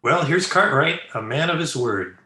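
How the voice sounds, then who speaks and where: A courteous, slightly puzzled friend of the family in a radio comedy, played by a man. His voice is bright and hesitant, in an echoey room. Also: on the high side, lively intonation, moderate pace. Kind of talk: old-time radio comedy acting. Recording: echoey room on video call.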